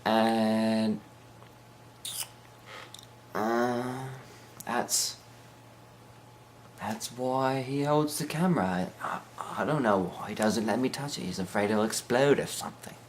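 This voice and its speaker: A man's voice: lowish voice, slow pace, pauses often, a little hiss or hum. A teenage boy talking in a put-on British accent, rambling hesitantly through a joking mock interview with many ums and restarts.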